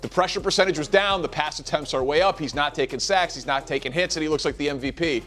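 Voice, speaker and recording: Male sports panelist, high-pitched, defensive, insistent debate delivery. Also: fast talker, fairly bright tone, faint music behind. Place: TV studio.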